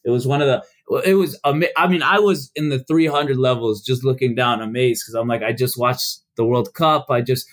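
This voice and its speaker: A young man from Chicago, a podcast guest, telling stories in a casual, halting way with many restarts and fillers. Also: mid-range voice. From United States.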